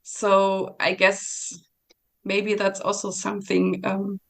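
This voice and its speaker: A woman singer speaks in a reflective interview at a middle pitch. Her voice has a touch of fry, and she talks haltingly, restarting often as she searches for words. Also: monotone. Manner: thoughtful, halting, with many restarts and fillers.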